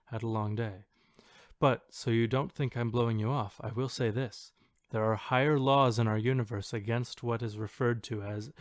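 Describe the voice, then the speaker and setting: A man's voice: medium pitch, dry recording, clean studio sound. A man co-hosting a casual podcast, chatting and reading posts aloud in an amused, sometimes hesitant way. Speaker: podcast co-host.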